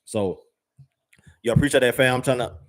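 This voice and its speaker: A man's voice: mid-range voice, moderate pace, a bit bright. A man giving viewers casual travel advice, rambling with fillers and repeated words and using slang. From United States. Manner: uses slang, addresses viewers as fam and y'all. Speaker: travel vlogger.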